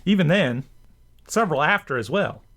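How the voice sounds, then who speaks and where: A man's voice: a little high, lively intonation, moderate pace, a bit bright. A man hosting a show with scripted commentary, speaking in wordy, bookish phrasing. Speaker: host of a rant and commentary show. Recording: music behind the voice. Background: background music.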